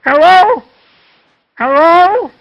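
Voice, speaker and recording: A woman's voice: extremely high pitch, lively intonation, drawn-out words, muffled recording. A woman in a distressed outburst, upset and close to crying.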